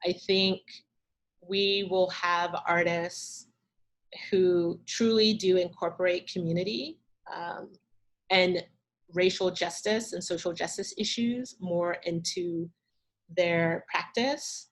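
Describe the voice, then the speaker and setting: A woman curator answering questions in a talk, thoughtful and measured, pausing often over a video call. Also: on the low side, steady tone. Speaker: arts curator, guest speaker.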